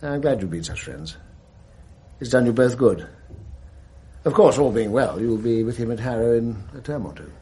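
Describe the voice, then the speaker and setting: An actor playing a genial, watchful boys' school headmaster in a radio drama, English, with a measured, old-fashioned clipped way of speaking. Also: male voice, mid-range voice, expressive, warm tone, natural voice. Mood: kindly, reassuring. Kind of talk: 1980s radio drama acting.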